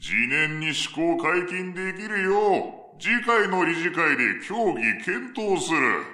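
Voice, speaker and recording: A man's voice: high-pitched, very animated, slow pace, bright, forceful tone, heavily acted. A man playing a pompous, unyielding male boss in a radio drama, speaking in stiff, formal, authoritative phrases. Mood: haughty, dismissive. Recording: roomy echo.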